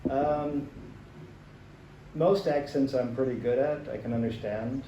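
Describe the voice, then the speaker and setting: An American man, a teacher, answering interview questions in a relaxed, plain-spoken way with many "like" fillers. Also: medium pitch, unhurried, lo-fi sound. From United States. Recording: roomy, distant and slightly noisy.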